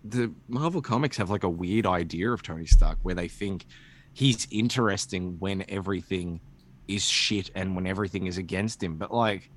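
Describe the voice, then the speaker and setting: A man co-hosting a podcast in casual chat, rambling in an animated, opinionated and sweary way. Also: deep voice, normal speed. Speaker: comic book podcast co-host.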